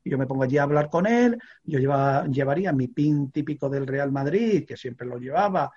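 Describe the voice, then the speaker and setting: An older man from Extremadura, a veteran sports reporter, telling an animated story in a studio chat with many fillers and restarts. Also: medium pitch, fairly quick, husky, muffled recording. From Extremadura, Spain.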